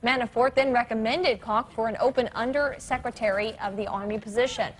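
A woman reads a scripted news report. Her voice is on the high side, and some faint noise and ambience sit behind it. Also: fairly even intonation, a little fast. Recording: clear background noise and ambience.

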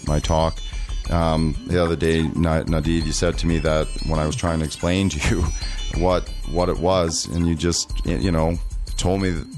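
Slow, deep-voiced man speaking deliberately. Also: darkish tone. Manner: slow, deliberate, with frequent restarts. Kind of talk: earnest conversational monologue.

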